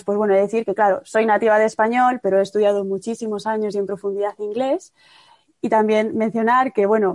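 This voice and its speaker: A woman in her thirties from Spain, a computational linguist, talking through her studies in a measured way with frequent fillers. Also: mid-range voice, a little mellow, no echo. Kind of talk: conversational podcast interview.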